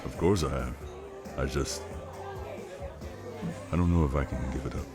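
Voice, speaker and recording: Guy playing a tired careful soldier type, super deep voice, understated, music and crowd behind him. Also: normal speed. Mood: weary, reflective. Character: weary, cautious male operative, devoted new father. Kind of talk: naturalistic dramatic dialogue.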